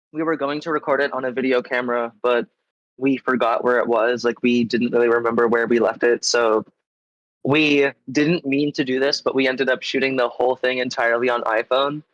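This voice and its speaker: A man's voice: medium pitch, a bit bright, dry recording. Congested man in dull-sounding casual chat. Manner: informal, meandering, heavy use of like. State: getting over a cold, congested. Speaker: interview guest, musician in a band. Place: live online stream.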